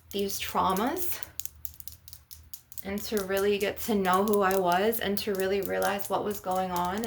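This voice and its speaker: A woman's voice: mid-range voice, vocal fry, a little hiss or hum. A woman in her forties speaking as a spiritual healer in an ASMR session, with an earnest, rambling, conversational way of guiding and musing. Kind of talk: guided visualization and informal spiritual monologue.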